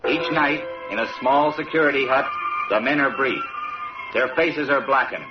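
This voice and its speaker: A man's voice: mid-range voice, moderate pace. Male American narrator for 1960s army radio documentary: solemn, dramatic, dull archival sound over prominent music. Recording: dull old radio recording, distant, loud music.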